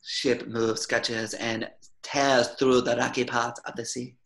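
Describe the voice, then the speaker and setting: A man's voice: mid-range voice, flat delivery, takes it slowly, gravelly, a bit bright. A man reading stage directions as scripted narration at a table reading.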